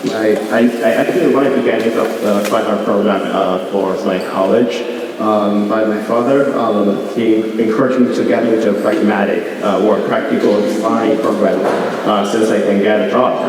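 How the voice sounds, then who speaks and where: A man talks through his slides in a fairly low voice, stopping often for ums and uhs. He is picked up from some distance in a big, very reverberant gallery hall with a faint hiss behind him. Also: darkish tone. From Beijing, China. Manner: hesitant, many fillers and restarts. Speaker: visiting artist. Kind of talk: artist talk with slides. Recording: echoey gallery hall from far off.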